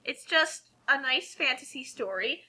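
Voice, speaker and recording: A woman giving casual commentary on a reading, explaining things in a halting, conversational way. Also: high-pitched, expressive, bright, forceful tone. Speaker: co-host of a group fanfiction reading.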